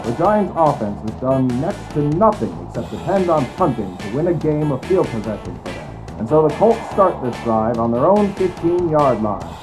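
An actor plays a male 1950s football announcer with a high-pitched voice. He calls plays in a brisk, declamatory, dramatic old-time broadcast style over clearly audible music. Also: low-quality recording. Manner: declamatory, building excitement on plays. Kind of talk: old-time sports commentary.

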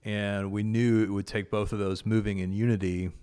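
A man's voice: lowish voice, flat delivery, normal speed, mellow, clean tone. A man casually and earnestly recounting a prayer gathering, with many fillers and restarts. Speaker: guest, prayer event organizer. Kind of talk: casual conversation about faith.

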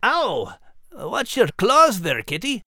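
A man voicing an excitable, wisecracking Scottish Terrier with a Scottish accent and a playful, teasing manner in a children's audio story. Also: sits high, takes it slowly, no room sound, studio quality, voice pushed up, full character voice. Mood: playfully pained.

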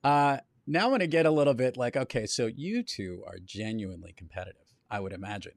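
An upbeat male podcast host asks his guests questions and cheers them on, his voice swinging from low murmurs to high bursts. The sound is dry and close, with no room echo. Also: lively intonation, brisk. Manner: upbeat, encouraging interviewer with big swings in pitch and loudness. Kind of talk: interview questions.

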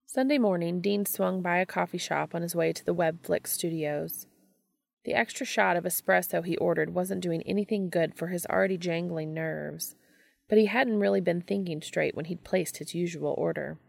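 A woman's voice: fairly low pitch, normal speed, creaky, dry recording, clean studio sound. A woman reading fiction aloud as a narrator, in scripted audiobook style. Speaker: reader of fan fiction audio episodes.

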